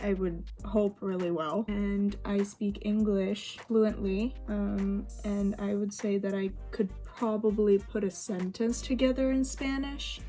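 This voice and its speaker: A woman's voice: mid-range voice, fairly even intonation, measured pace, few pauses, mellow. A young woman from Sweden, a native Swedish speaker, chatting casually in English while getting ready, with lots of fillers and laughs. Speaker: vlogger. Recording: faint music behind.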